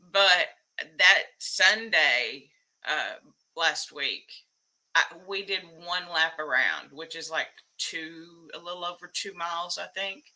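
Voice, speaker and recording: Hoarse, bright-voiced woman chatting and laughing. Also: low-pitched, rough recording. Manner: rambling, laughs often between phrases; excitable, reading chat names aloud. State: hoarse, losing her voice. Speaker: live stream host. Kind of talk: casual live stream chat.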